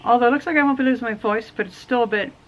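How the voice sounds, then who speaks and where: A woman's voice: on the high side, very fast. Young woman, getting over a cold, rambling casual vlog talk, outdoors, close camera, slightly muffled audio. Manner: rambling, self-correcting explanation. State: recovering from a cold, coughing. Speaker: DIY builder vlogger. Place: outdoors under a half-built wooden roof frame. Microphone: camera at close range.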